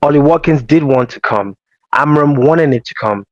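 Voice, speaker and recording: A man hosting a casual football show, explaining tactics in a measured, emphatic way. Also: a little high, no room sound. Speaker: host. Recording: slightly dull dry audio.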